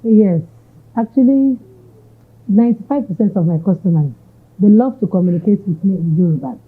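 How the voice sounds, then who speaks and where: A woman's voice: mid-range voice, animated, normal speed, husky. A Nigerian woman entrepreneur answering interview questions, switching between English and Yoruba phrases. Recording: tinny narrow-band audio.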